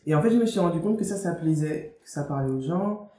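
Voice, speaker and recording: Young woman from Guadeloupe, interview guest, very mellow voice, chatty with restarts, echoey room, faint hiss. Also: low-pitched, expressive, quick pace. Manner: chatty, lots of fillers and restarts. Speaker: author and media founder, guest. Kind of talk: casual interview talk. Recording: roomy, faint background noise.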